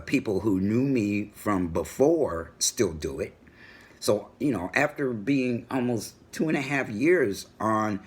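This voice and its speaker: A middle-aged man with a booming voice, talking assertively in a personal home vlog. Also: breathy edge. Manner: assertive, animated storytelling. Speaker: vlogger. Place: home room with a world map on the wall.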